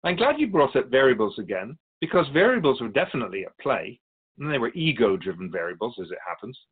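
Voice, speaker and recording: An otherworldly keeper of souls in a radio play. He is solemn and patient, explaining things in measured, philosophical sentences at a middling pitch. The sound is dull and boxed-in, with little top end. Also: sing-song, husky. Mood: solemn, explanatory. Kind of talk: radio drama interview acting. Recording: dull lo-fi audio.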